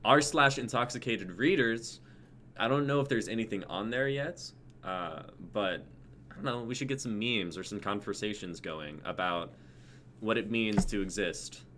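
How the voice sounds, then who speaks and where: A young man with a very low, forward, bright voice hosts a casual livestream. He chats loosely with viewers and co-hosts, with faint noise behind him. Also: normal speed. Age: young adult. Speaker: livestream host. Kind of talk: casual sign-off chat. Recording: background noise, livestream audio.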